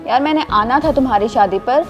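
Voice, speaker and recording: Actress as a high-pitched, emotional young wife. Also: female voice, a little fast, lo-fi sound, faint music behind, lightly acted. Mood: defensive, placating. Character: emotional, impulsive young wife, defensive and easily swayed. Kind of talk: acted moral-story drama dialogue.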